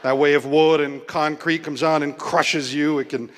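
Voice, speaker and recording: A man from Hawaii performing a stand-up comedy routine with a dry, deadpan delivery and wry asides. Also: fairly high pitch, moderate pace. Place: comedy club stage. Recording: live club stage with long reverb and audible crowd.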